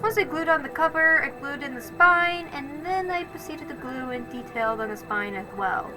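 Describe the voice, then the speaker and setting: A woman's voice: high-pitched, normal speed, poor audio. A woman doing casual voice-over narration for a craft video, rambling and correcting herself as she goes. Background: music.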